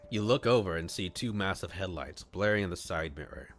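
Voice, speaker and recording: A man's voice: low-pitched, lively intonation, brisk, a bit bright. A man streaming a read-aloud of a visual novel, narrating it as a storyteller. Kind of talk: storytelling narration with acted dialogue.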